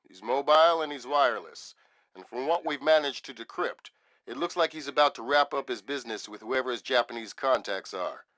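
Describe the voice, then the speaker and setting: An actor playing a seasoned, exacting intelligence chief in a video game, speaking in a measured, authoritative and dry manner. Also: male voice, medium pitch, a bit bright, close to an everyday voice. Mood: businesslike. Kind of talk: video game voice acting, briefing.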